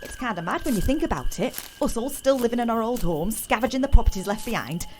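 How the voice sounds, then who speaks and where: Woman playing lively, frank British small-town woman. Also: a little high, fast talker, faint music behind, lightly acted. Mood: wistful. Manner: frank, teasing, colloquial. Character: frank, nosy, sociable small-town woman, adventurous and teasing. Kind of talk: naturalistic audio drama acting.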